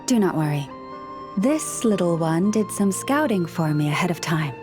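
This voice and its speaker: A woman voicing a calm, composed young woman who guides others with the help of animal helpers, in a video game cutscene. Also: lowish voice, moderate pace, music in the background, lightly acted. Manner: calm and reassuring.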